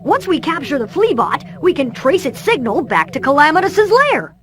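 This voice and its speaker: A woman's voice: high-pitched, very animated, normal speed. A woman voicing a brainy, earnest boy inventor in a cartoon video game, eagerly explaining technical plans. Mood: determined.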